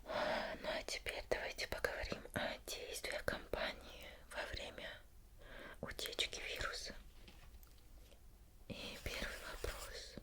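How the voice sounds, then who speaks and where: A woman playing a patient but suspicious investigator in an ASMR roleplay, whispering slow, probing questions with pauses. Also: pushed and bright. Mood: calm probing.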